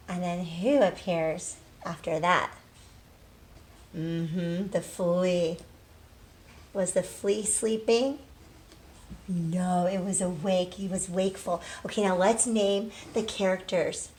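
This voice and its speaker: A woman teaching young children in a read-aloud lesson, asking questions, pausing and drawing out key words for emphasis. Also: on the low side, a little hiss or hum. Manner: prompts with questions, pauses, affirms answers, drawn-out emphasis on key words. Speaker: early literacy teacher.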